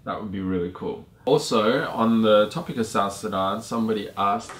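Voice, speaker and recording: Low-voiced jokey man in his thirties. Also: moderate pace, a little hiss or hum. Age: 30s. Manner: laid-back, jokey, laughs often. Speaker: vlogger, co-host with partner. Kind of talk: casual Q&A chat. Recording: echoey room.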